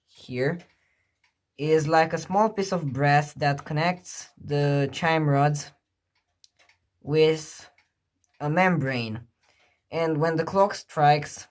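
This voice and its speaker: A person giving a casual hands-on demonstration as a collector, thinking aloud in a musing, hesitant way. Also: mid-range voice. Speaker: clock collector presenting a clock. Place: indoors beside a ticking clock.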